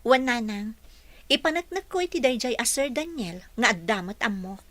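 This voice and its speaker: A woman's voice: a little high, lively intonation, moderate pace, fairly bright tone, no echo. A woman with an Ilocano accent playing a determined, earnest young employee in a radio drama.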